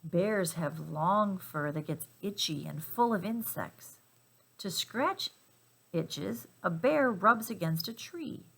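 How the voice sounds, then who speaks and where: A woman reading a children's picture book aloud in an expressive, emphatic and playful way for young listeners. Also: on the low side, slow pace, gravelly, husky. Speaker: storybook reader.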